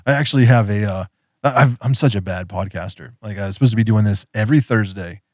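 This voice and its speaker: Low-voiced rambling podcast host man. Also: fast talker, no echo, clean studio sound. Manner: rambling, full of fillers and laughs. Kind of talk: casual podcast chat.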